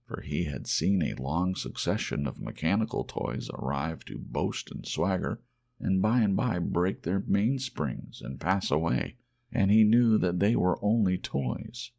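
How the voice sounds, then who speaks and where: Male ASMR audiobook narrator: deep, animated intonation, measured children's storytelling. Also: vocal fry.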